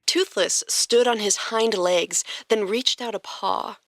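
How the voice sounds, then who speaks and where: A woman's voice: medium pitch, slow, fairly bright tone, no echo, clean studio sound. A woman hosting a podcast and narrating a story expressively from a script.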